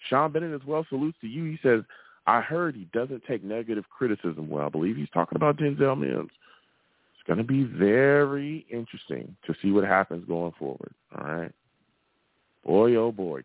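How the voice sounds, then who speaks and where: A man's voice: mid-range voice, big pitch swings, normal speed, no room sound, narrow, tinny audio. A man hosting a live sports call-in show, talking emphatically and repeating phrases for effect. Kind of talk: animated sports talk with callers.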